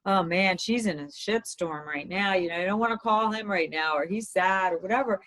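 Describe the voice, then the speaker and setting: A woman dating coach with a slightly hoarse voice, giving upbeat advice in conversation. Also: mid-range voice, moderate pace, a bit bright. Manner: upbeat, advising. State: slightly hoarse from talking all day.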